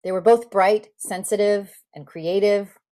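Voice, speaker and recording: Woman giving an expressive literary reading. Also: medium pitch. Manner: expressive, deliberate phrasing with dramatic pauses. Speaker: actor reading a memoir excerpt. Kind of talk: dramatic literary reading, narrative storytelling. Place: indoor room at home, on a video call.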